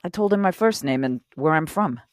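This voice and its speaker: Crime audio drama: actress to play hot-tempered but loyal woman robber, blunt and profane, lowish voice, very dry sound. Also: expressive, fairly quick, dry recording. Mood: defensive. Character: tough, loyal, hot-tempered, guilt-ridden female robber.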